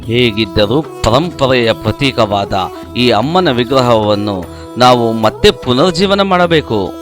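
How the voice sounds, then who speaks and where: A man's voice: mid-range voice, animated, takes it slowly, music in the background. A man voicing an earnest village character in a moral story, speaking in a declamatory way. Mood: solemn, rousing. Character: earnest village men: leader and alarmed old visionary.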